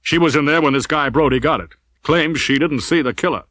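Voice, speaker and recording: A man's voice: on the high side, fairly quick, a bit bright, dry recording, lightly acted. A man voicing a cold, scornful police captain with a curt, deadly polite manner in an audiobook.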